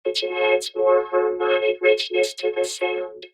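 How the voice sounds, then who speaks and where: A woman speaking through a vocoder that makes her voice a synthetic, robotic synthesizer tone, in slow demonstration phrases. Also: soft background music. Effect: vocoder robot filter.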